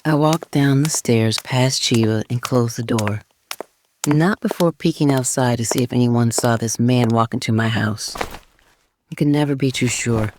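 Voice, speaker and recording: A woman playing a newly independent middle-aged wife, narrating her story in an audio drama with emphatic, measured delivery. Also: rumbling low, background noise. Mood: startled, wary. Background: music.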